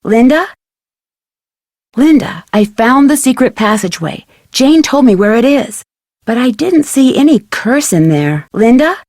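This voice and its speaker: A woman's voice: on the high side, big pitch swings, measured pace, darkish tone, no echo, studio quality. A woman voicing a young, friendly and persistent amateur detective in an adventure video game, speaking earnestly and politely. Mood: gentle concern. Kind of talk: scripted adventure game dialogue.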